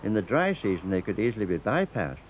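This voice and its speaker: An older man with a Northern Irish accent, reading a scripted documentary narration in a measured, steady way. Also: lowish voice, a little hiss or hum, poor audio. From Northern Ireland.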